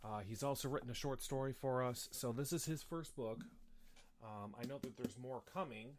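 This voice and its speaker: A man's voice: medium pitch, normal speed. Sniffly man hosting a casual live chat. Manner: rambling, many fillers and restarts. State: sniffly with a slight cough. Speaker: host.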